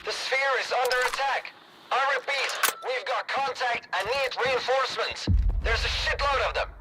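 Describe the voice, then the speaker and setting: A frantic soldier on the radio. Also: male voice, very high-pitched, moderate pace, poor audio. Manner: shouting, frantic. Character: panicked male soldier shouting on the radio. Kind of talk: video game dialogue. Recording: game audio with loud music.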